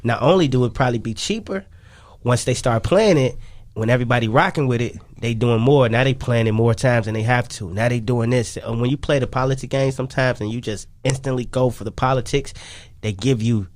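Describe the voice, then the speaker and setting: A man's voice: mid-range voice, fairly quick, no room sound. A man chatting casually as a music artist guest in a radio interview, easygoing and slangy. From Chicago, USA. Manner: easygoing, slangy, repeats "kinda like".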